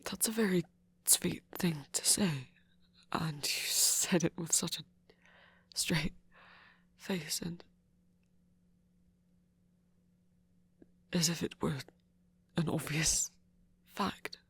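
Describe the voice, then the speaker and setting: A man performs a flirty yet wistful vampire for an ASMR audio story. The voice is very husky and breathy, often sinking to a whisper. He speaks slowly and hesitantly, with creaky fry at the edges and a teasing softness. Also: on the high side, steady tone, exaggerated voice. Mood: flustered, touched. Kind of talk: intimate ASMR audio roleplay.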